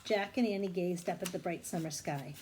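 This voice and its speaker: A woman's voice: lowish voice, a little fast, darkish tone, slight background noise. A woman reading a children's storybook aloud expressively, now and then correcting a stumble. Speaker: story reader.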